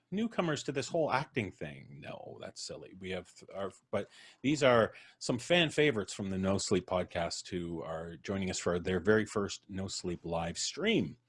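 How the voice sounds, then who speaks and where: A man's voice: fairly low pitch, big pitch swings, moderate pace, slightly rough. A man hosting a live podcast stream, introducing his guests with jokes and a rambling, playful manner. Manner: jokey, rambling, laughs at his own quips. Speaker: podcast host. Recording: music playing in the background.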